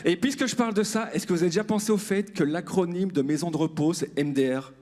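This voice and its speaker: A man's voice: sits high, quick pace, darkish tone. A man performing stand-up on stage, self-deprecating and speaking directly to the audience. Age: 40s. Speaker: stand-up comedian. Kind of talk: stand-up comedy monologue to an audience. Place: live comedy club stage.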